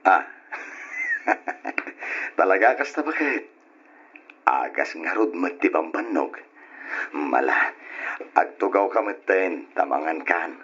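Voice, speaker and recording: An actor playing a drunken, carefree husband in an old radio drama, with slurred, drawling, husky talk. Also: male voice, low voice, expressive, takes it slowly, long pauses, fairly bright tone, lo-fi sound, full character voice. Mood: dismissive. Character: drunken, carefree husband and father who brushes off warnings.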